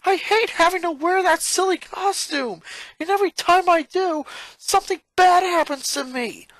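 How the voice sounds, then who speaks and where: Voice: extremely high pitch, moderate pace, fairly bright tone, no room sound, pitched above the performer's own voice, rough recording. A performer voicing an exasperated eleven-year-old boy from a cartoon fan story, with an indignant, pleading and emphatic delivery. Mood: frustrated, pleading.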